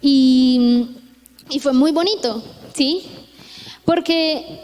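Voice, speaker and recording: A woman's voice: high-pitched, extremely slow. A young Colombian woman with a Colombian accent, preaching a chatty youth sermon with stories, often checking in with tag questions. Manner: chatty, frequent tag questions, lively retelling. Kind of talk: conversational sermon with storytelling. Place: church hall. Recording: echoey church hall.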